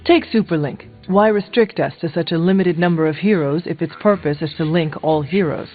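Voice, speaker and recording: A woman's voice: on the low side, fairly quick, lightly acted. An earnest, formal warrior out of another age, played by a woman for a video game, taking everything literally. The audio is thin and muffled, with faint music behind. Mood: eager, critical. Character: earnest, old-fashioned warrior out of time. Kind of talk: video game dialogue acting.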